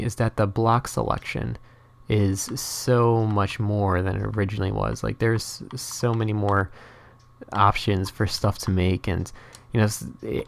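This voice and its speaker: A man's voice: lowish voice, vocal fry, no room sound. A young man whose first language is Norwegian, giving casual, rambling gameplay commentary full of fillers and restarts. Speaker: gaming channel host.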